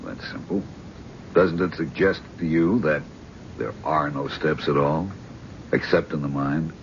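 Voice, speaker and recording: An actor playing a calm, probing male psychiatrist in a 1970s radio drama. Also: very deep voice, a little slow. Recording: dull old radio broadcast with faint hiss.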